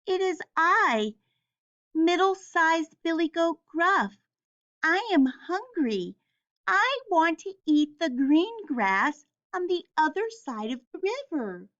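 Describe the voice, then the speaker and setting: A woman's voice: extremely high pitch, very animated, takes it slowly, fairly bright tone, dull audio, voice pushed up, exaggerated voice. A woman voicing a polite, slightly nervous middle-sized male billy goat in a storybook, speaking carefully. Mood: earnest.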